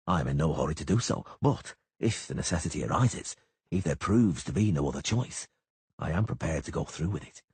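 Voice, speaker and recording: A man's voice: extremely low pitch, animated, fairly quick, a little mellow, slightly rough, no echo, heavily acted. A man voicing a cold, stern male monster hunter who speaks curtly. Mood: grim.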